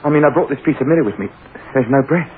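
A man's voice: a little high, animated, racing, a crowd in the background, close to an everyday voice. An actor playing a distraught, guilt-ridden young man in a 1960s radio horror drama, pleading and agitated. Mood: guilt-stricken. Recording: lo-fi hissy old radio recording.

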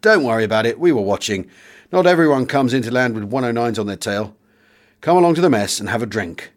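A senior British air force officer acted by a male narrator in a wartime audiobook. He is brisk and commanding, yet kindly and reassuring. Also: mid-range voice, lively intonation, natural voice. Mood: brisk reassurance.

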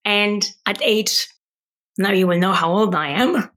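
A woman's voice: mid-range voice, a little slow. A woman in her forties from Poland with a Polish accent, telling her story earnestly as a guest on an interview podcast. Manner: earnest, animated storytelling with tag questions. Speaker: wellbeing coach, podcast guest. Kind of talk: conversational interview.

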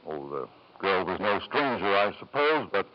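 A man's voice: low voice, very animated. An actor playing a domineering, controlling middle-aged husband and father in 1950s radio drama style. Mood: guarded, insistent. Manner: brisk, commanding, controlling. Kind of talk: 1950s American radio acting. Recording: dull old radio broadcast.

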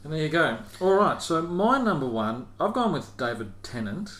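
A man's voice: on the high side, very animated, moderate pace, a bit bright. A man hosting a casual chat podcast, rambling and hesitant as he talks. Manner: rambling, hesitant, chuckles while reading aloud. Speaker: podcast host. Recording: echoey room with faint hiss.

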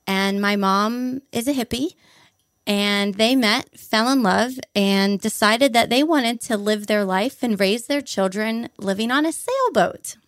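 A woman's voice: a little high, measured pace, no room sound, clean studio sound. A young adult woman from Hawaii, enthusiastically recounting her life story as a guest in an interview. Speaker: podcast guest.

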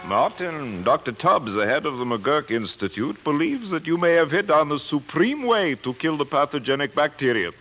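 An actor playing a grave, fatherly older scientist in 1940s radio drama, speaking deliberately and emphatically. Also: fairly high pitch, sing-song, muffled recording, soft background music. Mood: urgent.

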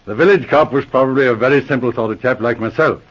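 A methodical, dry-witted Mountie sergeant, played by a man with a husky edge and a mid-range voice. He talks with patient, teasing calm in 1940s radio style. The sound is a dull, lo-fi old radio broadcast. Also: medium pitch, quick pace, close to an everyday voice.

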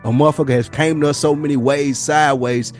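A man's voice: fairly high pitch, fairly even intonation, fast, soft background music. A man in a casual podcast discussion, swearing freely and acting out imagined exchanges as he tells his point. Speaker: podcast speaker.

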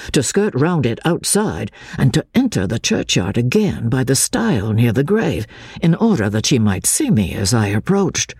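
A man gives a dramatic reading of classic literature as an audiobook narrator. His husky voice moves widely in pitch with a measured, theatrical delivery, recorded very dry. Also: darkish tone, no room sound.

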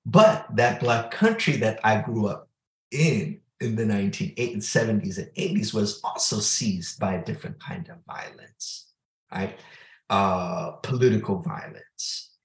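A man who grew up in Ethiopia, an author speaking slowly and thoughtfully as a guest in an interview. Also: on the low side, expressive, reverberant, low-quality recording. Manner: slow, thoughtful, with frequent restarts. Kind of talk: reflective interview answer.

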